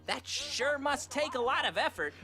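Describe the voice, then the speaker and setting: A man's voice: very high-pitched, animated, moderate pace, bright, forceful tone, full character voice. A man voicing a gleefully menacing young male cartoon pony in a fan fiction audio drama, drawing out mocking threats with chuckles. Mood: sinister taunting. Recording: music behind the voice.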